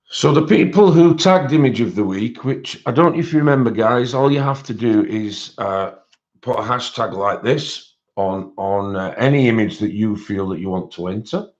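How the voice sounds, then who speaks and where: A man with a British accent and a croaky voice, hosting a casual livestream and chatting with viewers, rambling with many fillers. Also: fairly low pitch, normal speed. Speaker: livestream host. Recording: dull lo-fi stream audio.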